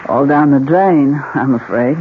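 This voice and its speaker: An actress playing a worried, downcast innkeeper's wife in an old radio drama, with a subdued, resigned manner. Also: female voice, rumbling low, measured pace, narrow, tinny audio. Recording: thin, hissy old radio recording.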